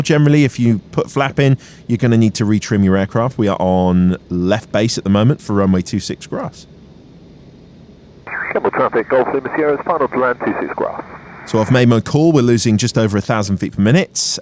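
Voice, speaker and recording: A man pilot giving casual, self-critical, explanatory flight commentary and short radio calls. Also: medium pitch. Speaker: pilot and vlogger. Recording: engine noise in light aircraft. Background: aircraft engine hum.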